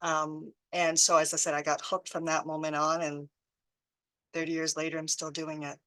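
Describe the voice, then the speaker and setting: A woman in her fifties on a restructuring panel, enthusiastic about her work, her sentences trailing off softly. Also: low-pitched, level tone, moderate pace. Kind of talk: panel discussion.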